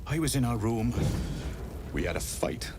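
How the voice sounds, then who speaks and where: A man's voice: medium pitch, very animated, normal speed, music in the background. An actor playing a grieving man who blames himself for the loss of someone he loved, speaking in a video game scene. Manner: broken, self-blaming, bitter. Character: grieving man who lost his love.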